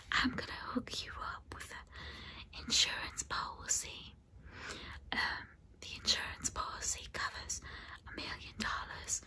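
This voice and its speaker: A woman's voice: medium pitch, bright, forceful tone. A woman playing a jaded, chatty, very old female vampire saleswoman in a whispered ASMR roleplay, talking in a confiding, rambling, folksy way. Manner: confiding, folksy, rambling, addressing the listener as darling and hon. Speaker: ASMR performer.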